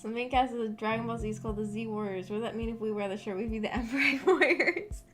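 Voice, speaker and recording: A young woman with a fairly high voice chats casually to her livestream audience. She rambles through personal stories in a giggly, animated manner, leaning often on "like". Music plays behind her. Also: fast talker. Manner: giggly, animated storytelling with frequent "like". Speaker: streamer hosting a visual novel book club. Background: background music.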